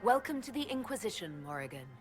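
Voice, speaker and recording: An actress playing a composed, principled heroine in a fantasy game, speaking in an earnest, direct, restrained way. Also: female voice, medium pitch, very animated, quick pace, music in the background, natural voice. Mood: dry.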